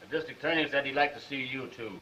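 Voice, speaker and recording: A man acting as a firm, unbudging lawman from a 1930s picture. His voice is mid-pitched, on a muffled soundtrack with faint noise. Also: fast talker, bright, forceful tone, close to an everyday voice.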